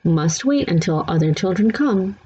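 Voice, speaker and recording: A woman's voice: lowish voice, expressive, moderate pace, dark tone, breathy edge, lo-fi sound. A woman reading a storybook as an indulgent but firm grandmother with simple, insistent phrasing.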